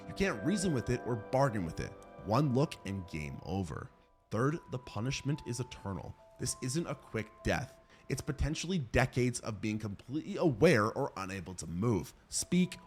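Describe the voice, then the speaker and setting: A man narrating a scripted horror countdown as host, dramatic and emphatic with sardonic asides. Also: fairly low pitch.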